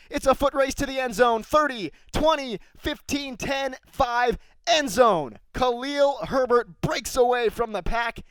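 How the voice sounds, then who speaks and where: Higher-voiced young male sports play-by-play commentator. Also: clear, resonant tone, no echo. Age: young adult. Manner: measured description rising to excited calls.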